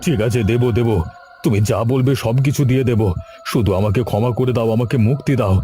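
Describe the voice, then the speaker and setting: Man doing a scared village landlord bargaining in a horror cartoon, mellow voice, music behind. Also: mid-range voice, fast talker, lightly acted. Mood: desperate bargaining. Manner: pleading, bargaining. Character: cowardly oppressive male village landlord, now desperate. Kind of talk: Bengali horror cartoon voice acting.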